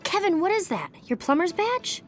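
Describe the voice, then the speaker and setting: A woman's voice: extremely high pitch, big pitch swings, moderate pace. A woman playing a sensible, assertive teenage girl in a video game cutscene. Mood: alert. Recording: loud music behind the dialogue.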